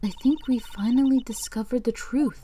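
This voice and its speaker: A woman's voice: fairly high pitch, moderate pace, dark tone, a little hiss or hum, lightly acted. A woman playing an assured mentor in a video game fandub, encouraging and dramatic. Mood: urgent, assured. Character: assured female mentor urging on a lawyer.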